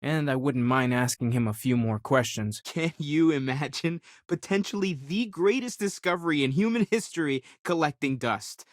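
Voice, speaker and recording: A man's voice: on the high side, normal speed, few pauses, no room sound, clean studio sound, natural voice. A young man acting an earnest, curious, spiritually searching space explorer in a video game, thoughtful and sincere in his delivery. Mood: curious, hopeful, then enthusiastic, sincere.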